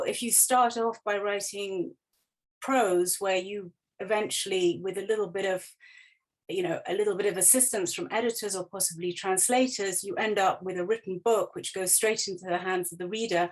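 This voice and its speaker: A woman's voice: mid-range voice, rough recording. Woman giving an informal explanatory talk. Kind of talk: informal explanatory presentation over slides. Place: online video call.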